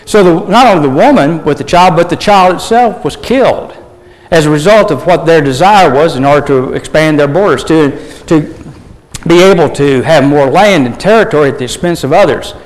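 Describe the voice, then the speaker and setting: Throat-clearing preacher in an echoing hall. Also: male voice, on the high side, animated. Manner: measured, with frequent throat clearing. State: losing his voice. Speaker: pastor. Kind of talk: sermon.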